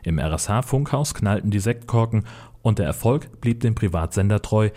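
A male report narrator reads from a script in a low voice. The tone is dark and soft-edged. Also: expressive, moderate pace.

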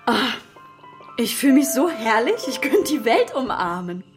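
Slow, high, blissed-out gushing mother. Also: sing-song, soft background music. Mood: blissful, adoring. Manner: gushing, dreamy, affectionate. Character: gushing, blissed-out mother doting on her daughter. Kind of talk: German children's radio play acting.

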